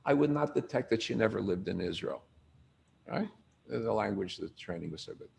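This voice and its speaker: Older male lecturer, gravelly, conversational teacher who quizzes the room. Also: lowish voice, normal speed, slight background noise. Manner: animated storyteller, asks the room questions. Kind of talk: informal lecture to a group.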